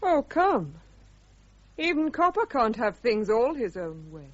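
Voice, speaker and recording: An actress playing a proud, hurt wife, defensive and emotional, in a radio drama. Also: female voice, fairly high pitch, sing-song, unhurried. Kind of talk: British radio drama acting. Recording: dull, lo-fi radio recording.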